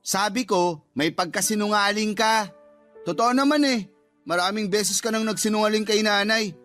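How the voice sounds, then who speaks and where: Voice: medium pitch, normal speed, a bit bright, faint music behind, natural voice. A performer playing an outspoken, accusing younger brother arguing in a radio drama. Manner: blunt, argumentative. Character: outspoken, accusing younger son, a teenage sibling. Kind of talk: Tagalog radio drama acting.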